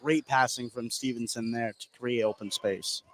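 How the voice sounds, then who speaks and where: An American man works as color commentator at a basketball game, offering short bits of analysis. His voice sits at an average pitch over faint gym noise. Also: steady tone, moderate pace, fairly bright tone. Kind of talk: live sports analysis.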